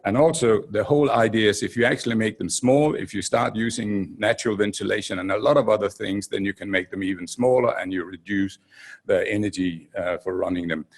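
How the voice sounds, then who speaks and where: Danish panelist, middle-aged man, low gravelly husky voice, loose rambling delivery. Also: fairly quick. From Denmark. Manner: loose, rambling with many fillers and restarts. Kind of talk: conference panel talk. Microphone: handheld mic.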